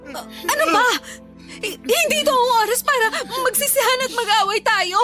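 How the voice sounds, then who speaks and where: Woman doing a high crying mom, yelling she's not to blame, music loud behind. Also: normal speed. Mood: defensive. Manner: crying, hysterical denials. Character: defensive, guilt-stricken mother. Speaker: mother. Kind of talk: audio drama acting.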